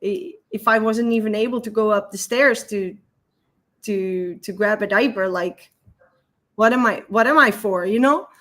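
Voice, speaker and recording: A woman's voice: medium pitch, fairly bright tone. Dutch-accented woman telling an emotional story. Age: 30s. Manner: emotional, halting, repeats words, laughs often. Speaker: guest. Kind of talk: personal story in an interview.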